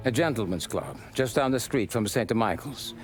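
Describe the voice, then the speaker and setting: An actor playing a discreet mafia advisor in a video game, measured, businesslike and matter-of-fact. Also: male voice, medium pitch, animated, over music, lightly acted. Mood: measured, explanatory. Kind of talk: dramatic video game acting.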